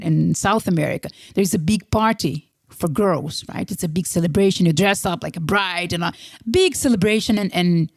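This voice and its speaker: A woman's voice: on the low side, darkish tone, dry recording, studio quality. A young woman from Brazil with a Brazilian Portuguese accent, speaking English as a second language as an enthusiastic podcast guest, often adding "right?" and "like". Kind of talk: casual interview talk.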